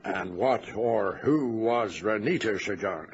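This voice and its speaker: An actor playing a seasoned sea captain in a radio drama, courteous and deliberate yet commanding. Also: male voice, fairly low pitch. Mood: courteous, wary. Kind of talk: old-time radio drama acting. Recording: muffled lo-fi radio recording with hiss.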